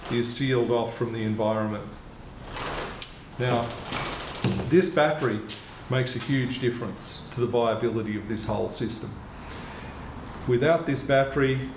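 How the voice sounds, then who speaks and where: Echoey older man explaining gear methodically. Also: mid-range voice. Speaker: kayak retailer presenting a product. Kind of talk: explanatory product demonstration. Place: indoor kayak shop or workshop. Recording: echoey room with clear background noise.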